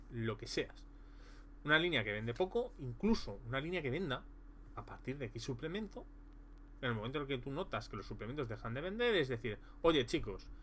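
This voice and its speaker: A man's voice: medium pitch, fairly bright tone, a little hiss or hum. A man casually reviewing a tabletop role-playing game, rambling with many fillers and restarts. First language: Spanish.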